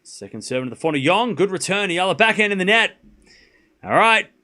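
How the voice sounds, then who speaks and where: A man with a softened Australian accent calls a tennis match live for his stream viewers, his voice sitting a bit high. He jumps up in energy when a rally heats up, then slips into low asides as he answers chat. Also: a little fast. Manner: rises excitedly on rallies, drops low and muttering between points. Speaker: streamer commentator. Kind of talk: live play-by-play commentary with chat.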